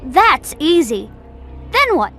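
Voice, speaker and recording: Loyal little boy for a game: very high, animated, earnest and eager. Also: takes it slowly, soft background music, exaggerated voice. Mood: helpful. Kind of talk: video game cutscene acting.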